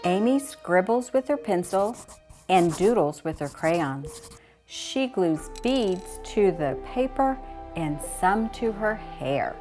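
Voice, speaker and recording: A woman's voice: medium pitch, animated, takes it slowly, a little mellow, faint music behind. A woman narrating a scripted children's story as a guest reader.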